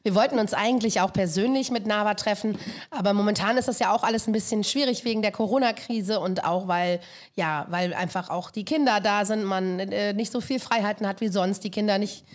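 A woman's voice: mid-range voice, steady tone, fairly quick. Chatty woman co-host, mid voice, agrees a lot, rambles a bit. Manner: chatty, agreeable, laughs easily. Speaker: podcast host. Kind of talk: casual interview conversation.

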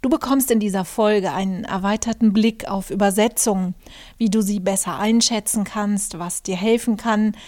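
A woman's voice: medium pitch, fairly even intonation, moderate pace, darkish tone. A German woman hosting her own podcast, talking through her thoughts in a reflective monologue with many fillers and restarts. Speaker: podcast host, journalist and author.

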